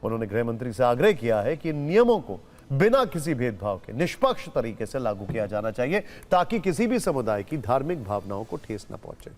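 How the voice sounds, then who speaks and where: A man's voice: medium pitch, a little mellow. A man presenting television news as an anchor, explaining points with emphasis.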